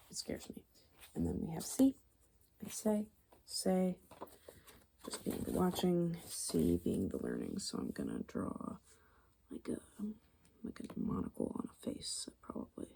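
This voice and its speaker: A young adult woman hosting ASMR, speaking softly and breathily, often whispering, musing aloud in an informal, murmured way. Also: fairly low pitch, huge pitch swings, unhurried, vocal fry, breathy edge, poor audio. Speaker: ASMR video host. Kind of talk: ASMR, soft-spoken personality explainer while drawing.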